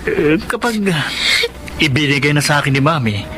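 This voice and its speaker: Evasive, animated young Filipino boyfriend. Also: male voice, fairly high pitch, normal speed. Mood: evasive, coaxing. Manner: evasive, coaxing. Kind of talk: radio drama acting.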